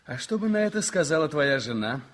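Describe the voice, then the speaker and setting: A man's voice: on the high side, big pitch swings, brisk, a bit bright. An actor playing a reserved, earnest man in a hospital in a 1960s radio play. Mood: restrained.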